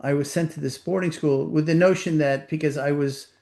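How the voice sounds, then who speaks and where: A man's voice: fairly high pitch, steady tone, brisk, creaky, a little mellow. Older man with a Puerto Rican accent. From Puerto Rico. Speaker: author being interviewed. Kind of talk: reflective conversation.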